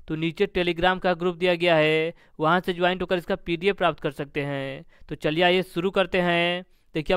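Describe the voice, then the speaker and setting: A man's voice: sits high, a bit bright, clean tone, no echo, clean studio sound. A man teaching an exam-prep lesson, methodically going through questions and answers with repeated set phrases.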